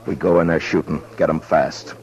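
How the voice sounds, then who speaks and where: A man's voice: extremely low pitch, fairly even intonation, normal speed, a little mellow. An American man acting a stern, weary Old West marshal in a 1950s radio western, terse and commanding. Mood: grim, instructing. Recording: muffled old radio broadcast with music.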